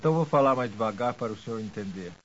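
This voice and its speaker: A man reading scripted language-lesson sentences in a deliberate, clearly enunciated way. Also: lowish voice, lively intonation. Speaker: language drill reader and dialogue speaker. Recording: muffled lo-fi language tape.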